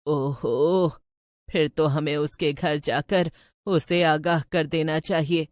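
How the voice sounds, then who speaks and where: A woman's voice: low voice, steady tone, slow, a little mellow, no room sound, clean studio sound. A woman voicing a gossipy, superstitious old village woman in a storybook tale, with an emphatic, scolding, colloquial way of talking.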